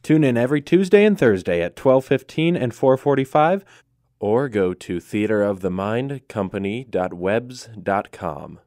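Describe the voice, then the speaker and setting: A man's voice: lowish voice, lively intonation, normal speed, a little mellow, no room sound, studio quality. A man reading a scripted announcement as a show's announcer.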